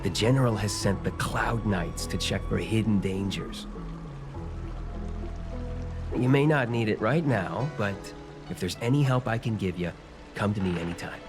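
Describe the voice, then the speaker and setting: A man's voice: lowish voice, expressive, moderate pace, long pauses, over music. A man playing a sly, obliging civil servant in a game, chatty and ingratiating. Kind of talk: English game voice acting.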